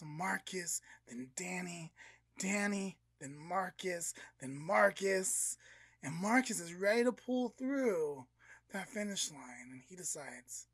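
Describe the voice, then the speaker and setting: A man co-hosting a podcast, telling a long story in a casual, animated way, full of "like" and acting out voices. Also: sits high, breathy edge, no room sound. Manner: animated, filler-heavy, acts out voices. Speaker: podcast co-host.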